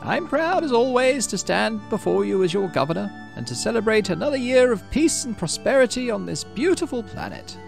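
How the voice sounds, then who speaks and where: Guy doing weedy old lady governor for a game, high thin falsetto, proud n gracious, music underneath. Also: sing-song, fairly quick, creaky, few pauses, heavily acted. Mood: proud, ceremonial. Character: frail elderly woman governor, proud and gracious.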